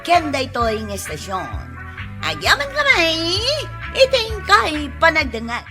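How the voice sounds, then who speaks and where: A woman announces a radio drama with an Ilocano Filipino accent, theatrical and unhurried. Her high voice swoops expressively over clearly audible music. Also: huge pitch swings.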